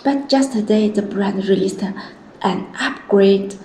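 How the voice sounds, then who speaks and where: A woman's voice: medium pitch, slow, warm tone. A woman presenting a casual product first look as a video host. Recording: roomy echo, clear background noise.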